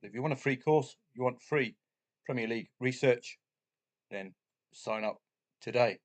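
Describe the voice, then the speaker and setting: A middle-aged man who has the flu talks through his betting results in a relaxed, casual way. His voice is deep and husky from the illness. He fills pauses with "uh" and drops in jokey asides. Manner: chatty, jokey asides, frequent "uh" fillers. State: has the flu, chesty cough, voice deeper than usual. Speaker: betting channel host. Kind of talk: casual talk to camera about betting.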